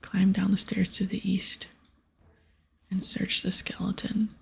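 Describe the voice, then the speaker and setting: A woman doing ASMR game commentary, reading aloud and chatting casually in a breathy whisper. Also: mid-range voice, monotone, unhurried.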